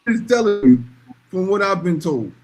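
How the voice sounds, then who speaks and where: A man's voice: high-pitched, big pitch swings, normal speed, crowd noise. A man talking casually and bluntly, giving emphatic opinions and repeating phrases to drive points home. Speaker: podcast panelist.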